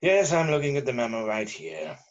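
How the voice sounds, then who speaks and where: A man's voice: mid-range voice, sing-song, fairly quick, highs cut off, close to an everyday voice. A man playing a jaded, sarcastic newspaper journalist in an amateur script table read, with theatrical delivery. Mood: bored sarcasm. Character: sardonic, jaded male newspaper journalist, drunk when young.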